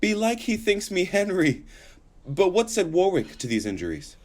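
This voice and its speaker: A man's voice: high-pitched, huge pitch swings, normal speed. A man acting a headstrong, haughty king with imperious, theatrical verse delivery in a Shakespearean audio drama. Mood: indignant.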